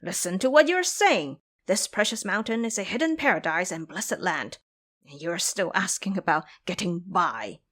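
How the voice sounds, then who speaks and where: A woman's voice: lowish voice, big pitch swings, moderate pace, fairly bright tone. A woman voicing a proud, free-spirited male monkey king in an audio drama, boastful and playful.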